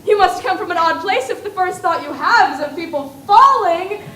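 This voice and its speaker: A woman's voice: extremely high pitch, expressive, moderate pace, a bit bright, echoey room, distant mic. A woman playing a fussy, touchy male egg in an amateur stage play. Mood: panicky. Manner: huffy, prim, easily offended.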